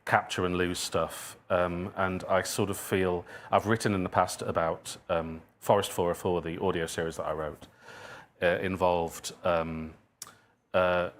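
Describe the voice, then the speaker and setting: A man speaking conversationally at a live talk, answering questions in a halting way, circling back over his phrases. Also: deep voice, level tone. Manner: halting, circling and repeating phrases, chuckles at own remarks. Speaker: speaker, writer of audio drama. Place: indoor public event venue.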